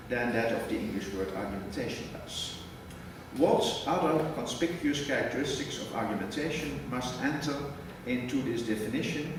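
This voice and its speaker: Man, formal conference lecture, measured prepared reading, distant mic, big reverberant hall, audible background noise. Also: medium pitch. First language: Dutch. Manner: measured, reads a prepared text, precise wording. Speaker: academic lecturer. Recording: echoey hall from far off with noise.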